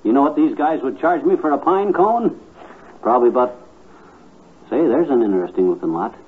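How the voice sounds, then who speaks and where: A man's voice: medium pitch, expressive, fairly quick, breathy edge. A man playing a cocksure, stubborn bargain-hunting husband with a folksy, blustering manner in 1950s radio comedy. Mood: blustery, determined. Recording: muffled, tinny old radio recording.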